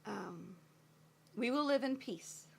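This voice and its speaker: A woman singing with a slightly hoarse voice, sometimes talking between verses with self-deprecating, laughing asides. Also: on the high side, animated, takes it slowly. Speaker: amateur ukulele player singing for a friend. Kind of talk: home recording of singing with ukulele and asides. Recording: loud ukulele playing under the voice.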